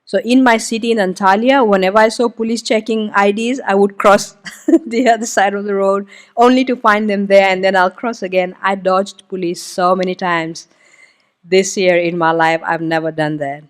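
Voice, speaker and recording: A woman's voice: mid-range voice, fairly even intonation, moderate pace, smooth. A woman whose mother tongue is Hindi gives a missionary testimony at a church service. Her talk is loose and conversational, with plenty of "um"s and "uh"s. She stops now and then to point things out on a map for the congregation. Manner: informal, explains while pointing at a map, frequent "um" and "uh". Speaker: missionary guest speaker.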